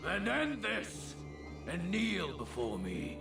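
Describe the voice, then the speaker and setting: A menacing usurper warlord speaks slowly and imperiously. His voice is heavily gravelly and swings widely in pitch, as heard in game cutscene drama. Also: wildly swooping pitch, music in the background, exaggerated voice. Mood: imperious menace. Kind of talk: dramatic video game acting.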